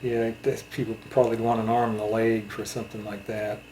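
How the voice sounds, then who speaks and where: A man in a casual interview chat, reminiscing in a halting way. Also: on the low side, little pitch movement, a little mellow, a little hiss or hum, rough recording. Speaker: interviewer, channel host.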